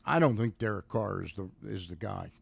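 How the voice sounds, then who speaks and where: A man co-hosting sports radio, giving casual commentary in a halting way and repeating phrases. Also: on the low side, gravelly, slightly rough, no echo.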